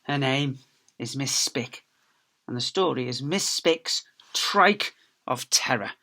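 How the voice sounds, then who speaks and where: A man's voice: medium pitch, lively intonation, slow, bright, forceful tone. A man, a teacher reading aloud, tells a children's story expressively, with theatrical emphasis and phrases that drop away at the end.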